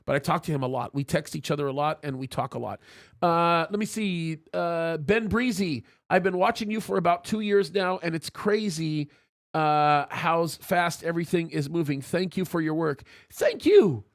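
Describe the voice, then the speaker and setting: A man hosting a live-stream talk show in a loose, chatty way. His voice sits high and swings widely as he reads viewer messages, laughing loudly and often restarting his sentences. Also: lively intonation, normal speed. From Egypt. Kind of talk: casual live-stream chat, reading viewer super chats.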